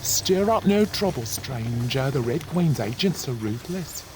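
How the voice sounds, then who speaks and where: A man plays a crushed, fearful villager from a dark fantasy game. He speaks at a middling pitch with solemn, mournful resignation, and there is clear noise in the background. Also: lively intonation, unhurried, close to an everyday voice. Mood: fearful warning. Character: downtrodden, fearful, despairing male villager of Wonderland. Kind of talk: video game character acting.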